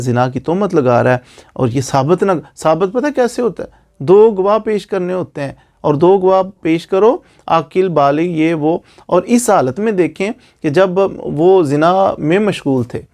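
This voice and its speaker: Male Pakistani lawyer-explainer, slightly high register with heavy vocal fry; informal, exhorting, drives points home by repetition. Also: warm tone. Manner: repeats phrases for emphasis, asks rhetorical questions. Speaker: lawyer giving legal advice.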